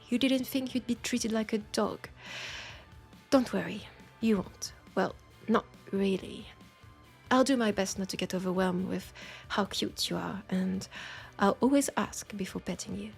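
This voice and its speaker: Girl acting as french accent witch lady, bit of vocal fry, playful and coaxing, roleplay audio w faint music. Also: mid-range voice, fairly even intonation, a little slow. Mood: playful, reassuring. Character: teasing, nurturing female witch, self-styled werewolf tamer.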